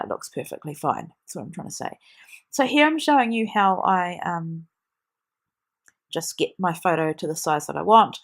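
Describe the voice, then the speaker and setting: A woman with a stuffy nose from a cold, chatting through a scrapbooking tutorial with frequent ums. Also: lowish voice. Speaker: scrapbooking demonstrator. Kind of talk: voice-over craft tutorial.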